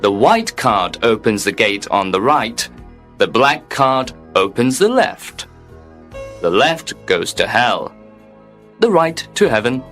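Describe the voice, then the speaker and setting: A man voicing a polite, coolly formal male receptionist in the afterlife in an audiobook story, speaking in a calm, courteous, matter-of-fact way. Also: medium pitch, takes it slowly, soft background music, full character voice. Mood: polite, unruffled. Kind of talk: audiobook character reading.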